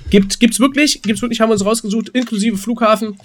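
A man's voice: very high-pitched, fairly even intonation, fast talker, a bit bright, a little hiss or hum. A man co-hosting a casual, rambling podcast, often stammering and repeating words with a jokey, teasing manner. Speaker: podcast co-host.

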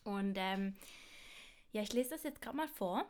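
A woman's voice: mid-range voice, expressive, unhurried, darkish tone. A young woman from Switzerland with a Basel accent, co-hosting a casual podcast over a remote call and speaking hesitantly with laughs and apologies about her German. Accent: Basel Swiss German. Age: young adult. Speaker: podcast co-host. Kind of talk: casual chat.

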